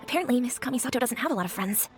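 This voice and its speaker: A woman's voice: on the high side, expressive, rapid-fire, loud music behind the voice, close to an everyday voice. A woman playing a friendly, chatty neighbourhood restaurant owner in a video game, obliging and confiding in manner.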